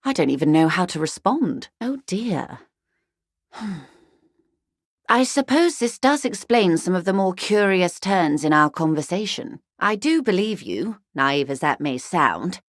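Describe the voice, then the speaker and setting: A woman playing a composed, tactful corporate negotiator in a video game, speaking in a formal, measured and diplomatic way, firm but courteous. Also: on the low side, sing-song, no echo, studio quality. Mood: concerned, courteous. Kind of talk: video game character dialogue.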